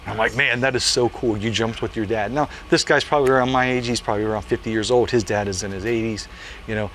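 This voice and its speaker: A man's voice: on the low side, fairly even intonation, quick pace, a bit bright, slight background noise. A man in his late forties, a parachute jumpmaster, telling stories in a casual interview with an earnest manner and many "you know" fillers. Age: late 40s.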